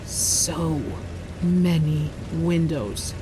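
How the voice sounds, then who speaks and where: A woman plays a cocky warrior sister who drawls out defiant, sarcastic lines at a slow pace. Her voice sits a little low. Rain and street noise hiss behind her. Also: fairly even intonation. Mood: wary. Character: brash young female warrior sister, defiant.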